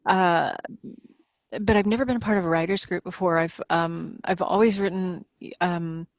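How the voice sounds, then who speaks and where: A woman's voice: on the low side, steady tone, moderate pace, dry recording. A woman author telling anecdotes in a casual podcast conversation.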